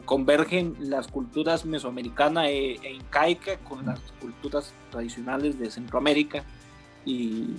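A Costa Rican man with a Costa Rican accent, talking in a casual chat, hesitant and full of "eh" fillers and restarts. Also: medium pitch. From Costa Rica.

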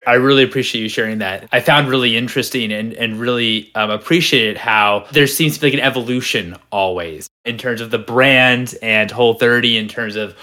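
A man's voice: mid-range voice, brisk, few pauses, bright, forceful tone. A man hosting an interview, asking long, hedging questions full of fillers. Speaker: podcast host.

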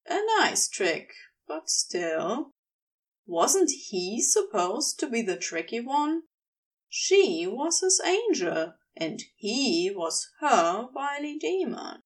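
Woman narrator, slightly distant mic, highly animated intonation, unhurried, expressive story reading. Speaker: podfic reader, narrator.

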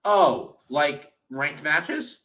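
A man's voice: on the high side, animated, extremely slow, sharp tone, recorded from a distance. A man co-hosting a show, bantering and teasing playfully. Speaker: co-host. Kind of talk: casual banter.

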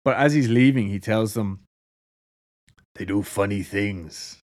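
A man's voice: lowish voice, unhurried, darkish tone. A man with an Irish accent casually telling a story to a co-host, laughing often and swearing freely. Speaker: podcast co-host.

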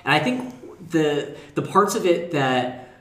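A man's voice: on the high side, expressive, measured pace. A man co-hosting a casual theater podcast, explaining his views earnestly. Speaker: podcast co-host and theater artist. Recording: roomy, echoey room sound.

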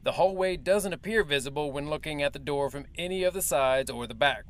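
An actor playing a male military major who briefs the press in a formal, measured and evasive way. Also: fairly high pitch, close to an everyday voice. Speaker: official spokesman. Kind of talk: audio drama acting.

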